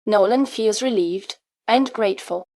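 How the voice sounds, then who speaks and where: A woman's voice: mid-range voice, a little slow, a little mellow, dry recording. Woman reading scripted lesson narration, dry sound. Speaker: question-and-answer presenter for English learners. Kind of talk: scripted question-and-answer narration.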